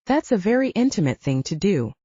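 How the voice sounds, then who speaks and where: A woman voicing a kindly, stubborn elderly grandfather with an earnest, gentle manner in an audiobook. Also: mid-range voice, big pitch swings, fairly quick, breathy edge, no room sound. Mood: earnest, coaxing. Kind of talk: audiobook character dialogue. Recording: dull, slightly lo-fi, dry recording.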